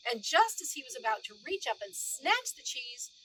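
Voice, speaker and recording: A woman narrating a children's storybook aloud in an expressive read-aloud style. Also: sits high, normal speed, slight background noise, low-quality recording. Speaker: storybook reader.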